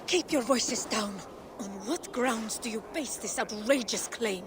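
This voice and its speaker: An actress playing a shrewd, ambitious and devoted Viking wife in a video game cutscene. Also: female voice, on the high side, a little slow, noisy background, lightly acted. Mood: stern. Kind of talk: dramatic game cutscene acting. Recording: music behind the voice.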